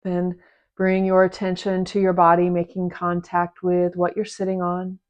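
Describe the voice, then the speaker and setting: A woman teaching a guided meditation in a gentle, unhurried manner, leaving long pauses between short phrases. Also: fairly low pitch, completely flat delivery, lo-fi sound. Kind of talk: guided meditation, soft instruction.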